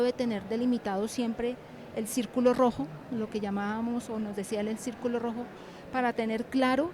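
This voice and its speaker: High-voiced woman explaining, with noisy background. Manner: emphatic, repeats words and phrases for stress. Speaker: animation teacher and storyteller being interviewed. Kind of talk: reflective interview talk about storytelling.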